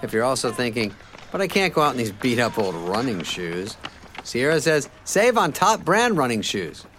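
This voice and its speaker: A man reading an upbeat scripted radio advert in a punchy, excitable manner. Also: mid-range voice, moderate pace, a little hiss or hum. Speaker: ad announcer. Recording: voice over clear music bed.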